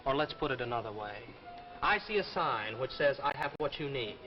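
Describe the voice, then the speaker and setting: An actor playing a cocky, scheming young writer who talks in pushy slang in a 1950s drama. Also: male voice, mid-range voice, animated, normal speed, lightly acted. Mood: sly, persuasive. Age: young adult. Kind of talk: 1950s television drama acting. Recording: muffled 1950s broadcast, music behind.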